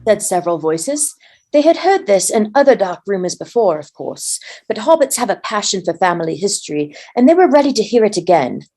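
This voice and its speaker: A woman's voice: medium pitch, very animated, fairly quick, dark tone. Woman reading a storybook aloud. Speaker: volunteer story reader. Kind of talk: storybook read-aloud narration.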